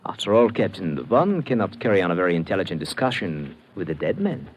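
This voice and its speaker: Slippery gentleman villain, very animated pitch, urbane and smug, vintage radio hiss. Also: quick pace. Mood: smooth, smug. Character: suave, slippery gentleman perfume dealer, smugly unruffled suspect. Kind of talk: 1940s-50s radio drama acting. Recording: noisy old radio broadcast recording.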